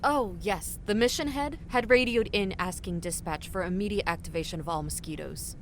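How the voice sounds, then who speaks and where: A woman's voice: mid-range voice, moderate pace, noisy background, natural voice. A woman playing a guarded, evasive dispatcher. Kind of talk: audio drama acting.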